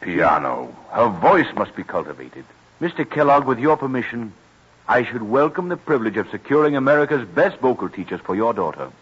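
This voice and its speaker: Casting: courtly old-radio colonel, declamatory with wide pitch swings, muffled vintage sound. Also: male voice, very animated, fairly quick, a bit bright, husky. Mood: impressed, insistent. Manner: formal, emphatic, declamatory. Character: courtly, emphatic colonel, a music patron and family friend. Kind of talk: old-time American radio drama acting.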